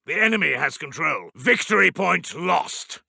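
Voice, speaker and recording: A man voicing a brisk, urgent British wartime army intelligence officer in a strategy game, giving clipped, formal battlefield reports. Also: on the high side, lively intonation, pushed and bright, no echo, studio quality, exaggerated voice. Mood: urgent, alert. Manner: crisp, formal military delivery.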